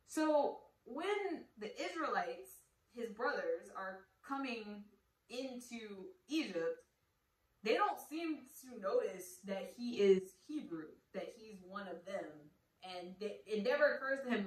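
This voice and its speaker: An American woman hosts a solo podcast, talking things through in a loose, conversational way. She leans on fillers and rhetorical questions as she explains. Her voice comes from a little way off the mic in a roomy, echoey space. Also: medium pitch. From United States. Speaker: podcast host. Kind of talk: casual solo podcast teaching. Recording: roomy echo, somewhat far from the mic.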